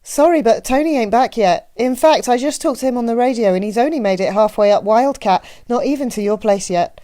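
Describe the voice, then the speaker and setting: A woman's voice: fairly high pitch, fairly quick, warm tone, no echo, studio quality, voice pushed up, lightly acted. A woman voicing a matronly, teasing small-town postmistress with a Midwestern accent and a chatty, folksy manner in an audiobook. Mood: chatty, helpful. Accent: Midwestern American. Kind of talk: audiobook character voice.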